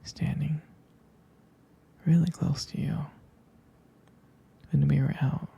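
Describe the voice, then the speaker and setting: A man playing a jealous, protective, devoted boyfriend in an intimate ASMR roleplay, speaking in a breathy, halting, tender whisper. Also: a little high, steady tone, warm tone, dull audio, full character voice. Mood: jealous, hurt. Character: jealous, protective, devoted young boyfriend soothing his partner.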